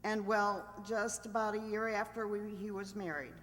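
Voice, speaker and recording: A woman's voice: mid-range voice, steady tone, normal speed, close to an everyday voice. A woman playing a cheerful, self-assured married mind reader as a matter-of-fact contestant on an old-time radio quiz. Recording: long hall reverb.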